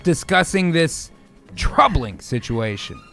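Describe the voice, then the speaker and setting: A man's voice: fairly high pitch, big pitch swings, slow pace, creaky, sharp tone, faint music behind. A man hosting and narrating an improvised scene, slipping into irreverent, profane banter. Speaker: narrator and host.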